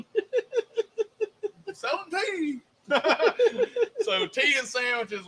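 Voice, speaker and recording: A Southern man from Mississippi, telling stories and laughing as he co-hosts a relaxed talk show. His voice sits high and sounds bright and forward, with a faint hiss behind it. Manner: folksy storyteller, laughs often. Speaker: co-host. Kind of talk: casual chat.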